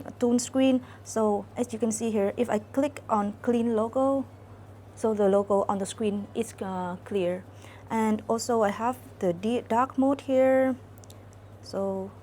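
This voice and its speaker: A young woman from Vietnam, walking through a demo of her student app in a careful, halting way with many fillers. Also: mid-range voice, warm tone, a little hiss or hum. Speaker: student intern presenting an app. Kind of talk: screen-recorded app demo walkthrough.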